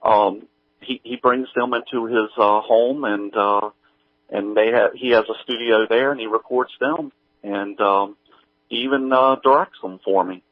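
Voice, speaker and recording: A middle-aged man chatting casually as an interview guest, speaking haltingly with many pauses. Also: fairly low pitch, fairly even intonation, dry recording, phone-quality sound. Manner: halting, hesitant delivery full of pauses. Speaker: guest, audio drama creator.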